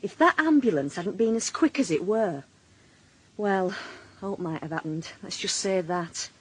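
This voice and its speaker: A woman's voice: medium pitch, expressive, unhurried, slightly rough. A woman playing a defensive, blunt working-class Northern English wife and mother, middle-aged, in a naturalistic radio drama. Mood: weary, wry. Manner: colloquial, blunt, wry. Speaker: lead actress and narrator in a radio drama.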